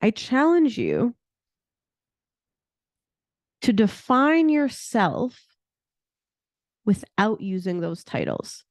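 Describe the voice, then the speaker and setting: A woman's voice: a little high, sing-song, extremely slow, pauses often, no room sound, studio quality. A woman in her thirties hosting her own podcast in a chatty, casual way, peppering her talk with "like" and laughing at herself. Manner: chatty, laughs often, fillers like "like". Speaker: podcast host.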